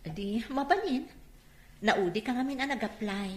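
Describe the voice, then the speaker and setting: Woman playing bossy, lively Filipino innkeeper. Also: fairly high pitch, moderate pace, close to an everyday voice. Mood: curt, dismissive. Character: brisk, bossy young woman hiring for a lodging inn. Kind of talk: radio drama acting. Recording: roomy, echoing sound.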